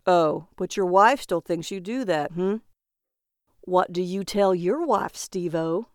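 A woman's voice: on the low side, unhurried, clear, resonant tone, no room sound, studio quality, lightly acted. A woman voicing a huge, nervous male agent of death in an audiobook, anxious, needling and pleading with his partner. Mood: mocking.

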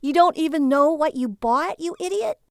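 A woman's voice: fairly high pitch, lively intonation, normal speed, gravelly, smooth, dry recording, clean studio sound, pitched above the performer's own voice. A woman voicing an irritated, sarcastic, weary wife in a story, with an exasperated, scolding delivery. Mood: scornful.

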